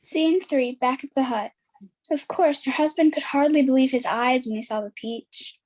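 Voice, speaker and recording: A woman's voice: sits high, normal speed, darkish tone. A woman reading scripted storytelling narration as the narrator of an amateur play.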